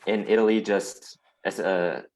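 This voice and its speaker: A man's voice: low voice, steady tone, unhurried, a little mellow, rough recording. A man hosting a casual online interview show. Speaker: host of a weekly chat show.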